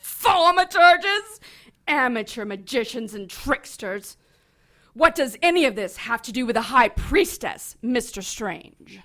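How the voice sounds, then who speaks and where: A woman's voice: fairly high pitch, big pitch swings, unhurried, pushed and bright, full character voice. An actress playing a haughty older witch matriarch, imperious and disdainful, in a theatrical audio drama style. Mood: scornful. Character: haughty, scornful older witch, mother of the high priestess.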